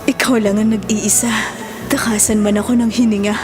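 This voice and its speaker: A woman plays a devoted middle-aged Filipino mother, gentle and forgiving. Her medium-pitched voice is earnest and tender, with strong drama music behind it. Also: level tone, normal speed, close to an everyday voice. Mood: forgiving, consoling. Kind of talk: melodramatic drama acting.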